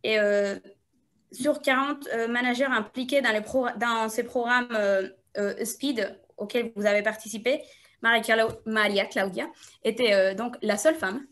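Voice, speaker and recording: A woman with a fairly high voice hosts a live interview. She reads through a guest's biography haltingly, dropping in fillers as she goes. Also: fairly bright tone. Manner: halting, frequent hesitation fillers.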